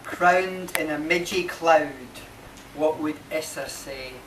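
A man, reading his poetry live in short, measured phrases. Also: fairly high pitch. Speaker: poet reading own work. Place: marquee with a small seated audience. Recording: distant, echoey, noisy live recording.

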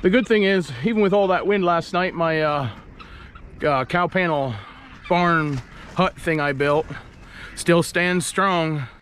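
A man's voice: a little high, measured pace, a bit bright, slight background noise. A man vlogging from his small farm, talking in a plain-spoken, folksy way and thinking aloud as he works. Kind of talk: casual vlog narration.

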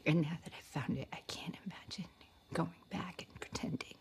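A woman performing a dramatic film monologue as a woman confessing forbidden love, in a breathy, whispery, intimate voice. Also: deep voice, normal speed. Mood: tender, wistful. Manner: hushed, confessional delivery.

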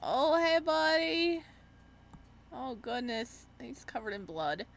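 A woman gaming streamer talks in a fairly high voice. Her commentary is casual and expressive, full of exclamations, in the manner of a playthrough. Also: slow, vocal fry, slight background noise.

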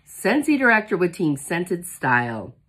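Hoarse, husky-voiced woman gushing in a vlog. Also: lowish voice, very animated, unhurried, a bit bright. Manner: chatty, gushing and enthusiastic. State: lost her voice, hoarse with a cough. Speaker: independent product consultant. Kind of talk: casual product unboxing vlog. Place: small indoor room with shelves, seated at a table.

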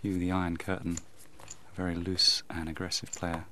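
A man's voice: low-pitched, completely flat delivery, moderate pace, sharp tone, a little hiss or hum. A man hosting and commentating on a televised poker game. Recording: music under the voice. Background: music.

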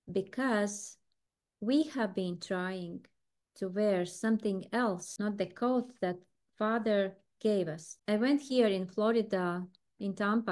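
A woman's voice: medium pitch, a little mellow. Earnest Latvian woman giving a devotional talk. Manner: earnest, speaks in short halting phrases with frequent pauses. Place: home room indoors. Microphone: video call webcam, close framing.

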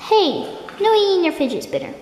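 A woman voicing a whiny, indignant young sock puppet in a homemade puppet show, with dramatic, drawn-out complaints. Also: very high-pitched, sing-song, a little mellow. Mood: fretful. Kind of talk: improvised amateur puppet show dialogue. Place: indoors, room at home with wooden floor. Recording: echoey hard-floored room, faint hiss.

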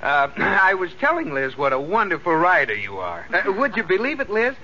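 Lively, cheerful husband. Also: male voice, sits high, moderate pace, muffled recording. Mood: awkwardly cheerful. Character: cheerful, slightly awkward husband. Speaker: sitcom husband. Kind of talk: 1940s radio comedy acting. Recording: noisy old radio recording, studio audience.

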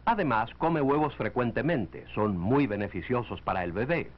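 A man reading scripted narration for a 1940s educational film, in a measured, didactic way. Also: medium pitch, lively intonation. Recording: dull lo-fi 1940s film soundtrack.

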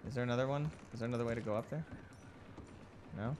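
A man's voice: medium pitch, fast talker, background noise. A young man giving casual, joking gameplay commentary in a playful, goofy manner. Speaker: gaming YouTuber.

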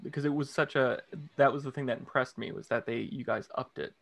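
A man in his thirties hosting a film interview show from a home room, reading a scripted intro and then asking questions with halting restarts. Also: mid-range voice, creaky. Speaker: host. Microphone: large studio condenser mic with headphones.

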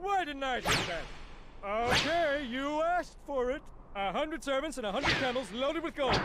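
Game spirit: boastful wish-granting genie, extremely high voice, showman's hammy patter. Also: animated, normal speed, heavy background noise. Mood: manic, eager. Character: big, zany, boastful male genie showman.

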